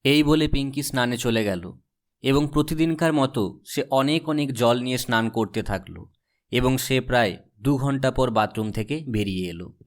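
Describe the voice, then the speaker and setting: A man with a medium-pitched voice narrates a cartoon tale. His delivery is scripted storybook narration. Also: normal speed.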